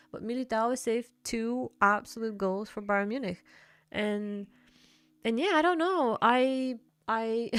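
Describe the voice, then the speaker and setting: Gravelly woman streamer. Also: fairly high pitch. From Bulgaria. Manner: chatty, reads and answers chat, quick laughs. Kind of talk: casual livestream chat with viewers.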